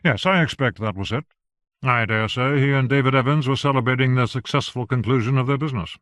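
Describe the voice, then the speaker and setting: A man's voice: medium pitch, fast, fairly bright tone, no room sound, clean studio sound, lightly acted. A man voicing a prim male servant who speaks stiffly and with mild scorn, in a storybook reading.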